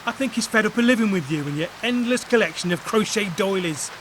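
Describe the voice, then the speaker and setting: A man's voice: high voice, lively intonation, a little fast. An actor playing an easygoing, gullible man who earnestly defends his girlfriend in an audio comedy drama. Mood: blunt. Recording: clearly noisy, rain-like background.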